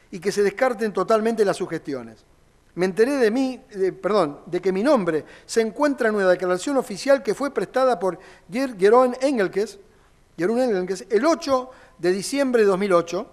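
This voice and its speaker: A high-pitched man delivers a courtroom closing argument as a defense lawyer. He speaks formally and reads long passages from documents aloud.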